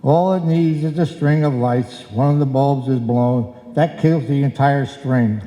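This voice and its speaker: A man's voice: medium pitch, measured pace, dark tone, lightly acted. Man acting an exasperated husband, echoey hall. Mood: impatient. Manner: argumentative, exasperated, wisecracking. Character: grumbling, exasperated, henpecked husband in a bickering comedy. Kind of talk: old-time radio comedy acting before a live audience. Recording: echoey live hall, roomy sound.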